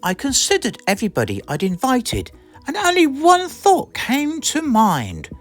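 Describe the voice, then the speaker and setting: Slow, very animated British woman reading a storybook. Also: high-pitched, over loud music. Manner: expressive, theatrical. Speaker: storybook reader. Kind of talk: animated scripted storybook narration.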